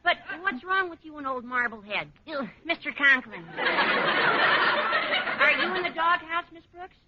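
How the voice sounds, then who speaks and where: A man playing an eager teenage high school boy in a 1940s radio comedy, squeaky and excitable. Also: a little slow, heavy background noise. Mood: eager, breezy. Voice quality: squeaky, piercing. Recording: dull 1940s radio recording.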